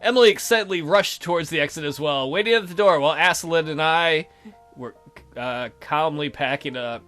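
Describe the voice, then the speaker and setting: A man's voice: on the high side, normal speed, a bit bright, soft background music. A young man hosting a let's play, reading a visual novel aloud and adding playful, joking commentary.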